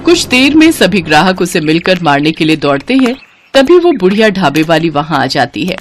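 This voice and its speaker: A woman's voice: lowish voice, sing-song, moderate pace, a little hiss or hum. A woman storyteller reading a scripted moral tale as narrator.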